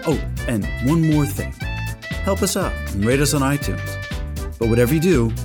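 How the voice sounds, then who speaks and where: A man hosting a podcast, reading an announcement and asking questions in a measured, lightly joking way. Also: medium pitch, lively intonation, over music. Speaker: podcast host.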